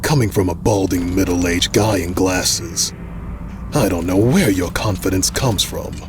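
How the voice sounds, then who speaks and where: An actor playing an arrogant, mocking samurai commander in a video game cutscene, scornful and unhurried. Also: male voice, deep voice. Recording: music under the voice. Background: music.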